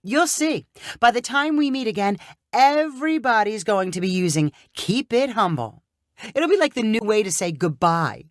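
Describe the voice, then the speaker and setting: A woman's voice: fairly high pitch, very animated, moderate pace, dry recording, clean studio sound, pitched above the performer's own voice. A woman voicing a chatty, self-promoting would-be influencer in a breezy, self-assured story-reading voice. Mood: excited, boastful.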